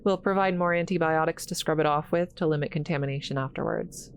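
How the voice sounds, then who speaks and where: A woman playing an awkward, kind scientist in a roleplay audio drama, halting and apologetic, stammering as she talks to the listener. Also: deep voice, slight background noise, close to an everyday voice. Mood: rushed, businesslike.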